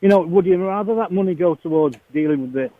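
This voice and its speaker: A man with a Yorkshire accent calling a radio show, speaking in a measured, hesitant way with many fillers. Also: sits high. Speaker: radio caller. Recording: tinny phone line.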